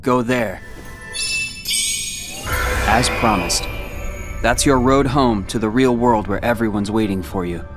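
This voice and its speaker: A man acting a brooding, loyal teenage boy warrior in a video game cutscene, speaking in a measured, earnest way. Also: on the low side. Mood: calm, sincere. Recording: game music underneath.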